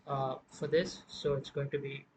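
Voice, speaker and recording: Flat-toned man giving a music software tutorial. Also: medium pitch, normal speed, slight background noise. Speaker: music production tutorial presenter. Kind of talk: casual step-by-step software tutorial narration.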